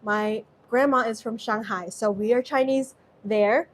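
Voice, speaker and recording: A woman's voice: fairly high pitch, steady tone, unhurried, slight background noise. A woman from Southeast Asia hosting a live-stream cooking show from her home kitchen, chatting loosely and reading viewer comments aloud. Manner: chatty, reads viewer comments aloud, rambling with many restarts. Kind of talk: casual live-stream chat while cooking.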